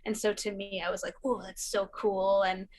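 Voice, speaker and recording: A woman's voice: medium pitch, flat delivery, normal speed, a little mellow. A young woman from Syria, a musician guest chatting casually, laughing often and using lots of fillers. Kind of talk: casual interview chat.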